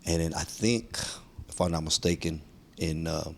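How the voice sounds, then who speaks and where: Deep voiced sf guy telling his story, lots of ums, laid back slang. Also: vocal fry. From San Francisco, USA. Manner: warm, heartfelt, slangy, pauses with many ums. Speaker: guest, community activist. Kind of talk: relaxed storytelling conversation.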